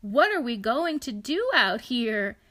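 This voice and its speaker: A woman voicing a friendly talking reindeer in a storybook read aloud, using a high, eager voice. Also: big pitch swings, slow pace, bright, forceful tone, full character voice. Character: friendly cold talking reindeer, gentle and helpful.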